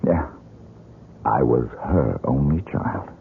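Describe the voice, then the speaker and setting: A troubled young man, brooding and bitter, in an old radio mystery. His low, very husky voice moves in dramatic, halting swings on a muffled lo-fi recording. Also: heavily acted.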